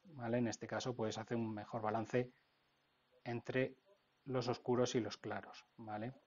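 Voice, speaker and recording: Dull-sounding Spanish man explaining software. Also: medium pitch, level tone, a little slow. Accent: Spain Spanish. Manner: explanatory, often checking with "vale?". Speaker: technical instructor. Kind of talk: informal software tutorial narration.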